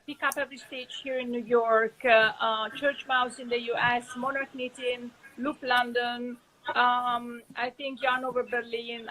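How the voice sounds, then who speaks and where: A woman's voice: a little high, bright, forceful tone, rough recording. A woman with an Italian accent hosting a casual live video chat, talking loosely with many fillers and restarts. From Italy. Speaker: host.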